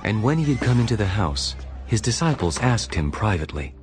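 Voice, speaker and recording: A man narrating a dramatized audio Bible as a solemn, measured storyteller. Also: fairly low pitch, big pitch swings. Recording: music bed behind narration.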